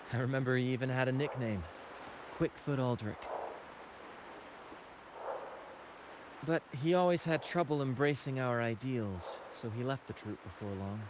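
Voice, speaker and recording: A man's voice: mid-range voice, noisy background, lightly acted. A man voicing a video game's flamboyant young troupe leader, playful and gallant, with theatrical, flowery phrasing. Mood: reflective storytelling. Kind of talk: video game voice acting. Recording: game music behind the voice.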